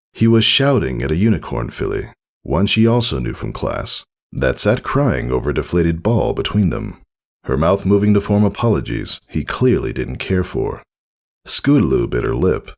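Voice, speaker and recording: A man narrates fiction in a low, gravelly voice. His storytelling is expressive and scripted, with intonation that moves around a great deal. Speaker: narrator reading fan fiction. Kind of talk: scripted audiobook-style narration.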